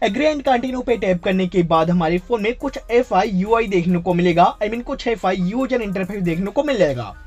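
A man hosting a tech channel, giving a casual tutorial voice-over and mixing English phrases into his speech. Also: high voice.

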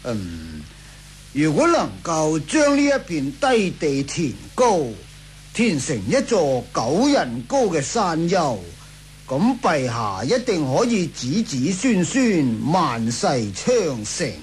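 Slow, swooping scheming Taoist priest. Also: male voice, on the high side, huge pitch swings, slight background noise, heavily acted. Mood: portentous, flattering. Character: scheming male Taoist priest, flattering and portentous. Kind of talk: Cantonese radio drama acting.

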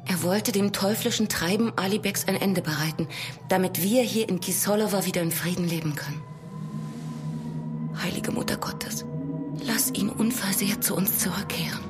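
An actress playing a fearful, devout young village woman, speaking in a hushed, earnest, dramatic way in a horror radio drama. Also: medium pitch, moderate pace, lightly acted. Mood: pleading. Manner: hushed, dramatic, earnest, often near whispering. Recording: prominent music behind the voice.

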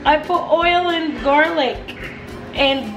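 A woman's voice: high-pitched, takes it slowly, music in the background. A young woman vlogging at home, chatting in English and Tagalog while filming her toddler and cooking, laughing often. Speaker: family vlogger. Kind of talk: casual home vlog. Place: apartment kitchen and living room.

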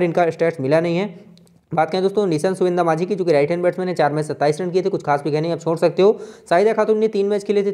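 A man with an Indian accent giving an informal, brisk fantasy cricket preview as a channel host, running through player statistics. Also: fairly high pitch, warm tone. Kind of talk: informal match preview commentary over on-screen stats.

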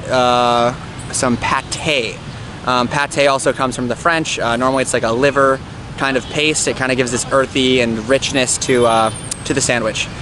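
A young man talking casually and eagerly to a camera held at arm's length, outdoors in a city park, with lots of fillers. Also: mid-range voice. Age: young adult. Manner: chatty, enthusiastic, many fillers. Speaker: travel vlogger. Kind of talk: casual travel vlog. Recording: outdoor street noise.

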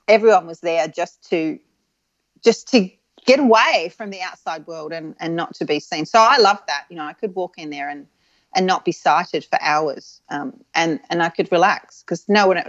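A woman in her forties from Adelaide, with a soft Australian accent that leans English, reminiscing frankly as an interview guest with many ums and restarts. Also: on the low side, no room sound, muffled recording. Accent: soft Australian with an English tinge.

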